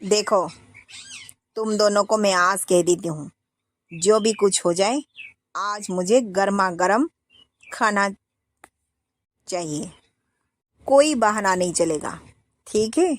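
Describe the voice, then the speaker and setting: A woman's voice: mid-range voice, slow pace, pauses often, dry recording, close to an everyday voice. A woman voicing a stern older mother-in-law who scolds the household firmly, in a storybook tale. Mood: scolding. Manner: scolding, firm.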